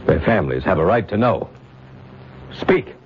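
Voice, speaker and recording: A low, stern council chief. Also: male voice, very animated. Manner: formal, measured, authoritative. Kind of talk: 1970s radio drama acting. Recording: noisy, dull old radio broadcast recording.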